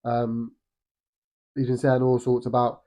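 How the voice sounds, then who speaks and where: A man's voice: mid-range voice, completely flat delivery, unhurried, mellow. A young British man with a cold and a slightly nasal voice, giving a casual, rambling boxing opinion vlog. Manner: rambling, frequent restarts and ums. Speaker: boxing vlogger.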